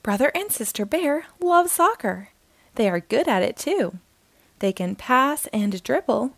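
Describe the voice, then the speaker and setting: Lady reading a kids book aloud, kinda high, bouncy sing-song, dry sound. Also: very animated, a little slow, creaky, no room sound. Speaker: storytime host reading aloud. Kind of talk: children's picture book read-aloud.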